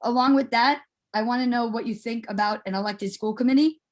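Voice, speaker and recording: A woman's voice: a little high, fairly quick. A middle-aged woman asking a question at an online meeting, informal and chatty, circling toward her point.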